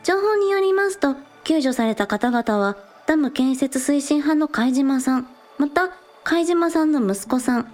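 A woman's voice: high voice, measured pace, few pauses. A young woman playing a bubbly, earnest teenage girl idol in a Japanese audio drama. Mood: earnest reporting. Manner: excitable, cute, earnest.

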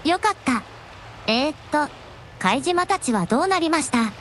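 A gentle young dragon princess with a high voice, played by a woman in a radio drama. She speaks in refined, devoted, very courteous phrases. Some noise sits behind the voice. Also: very animated, moderate pace. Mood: relieved, gentle. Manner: refined, deferential, ladylike honorific speech. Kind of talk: Japanese radio drama acting.

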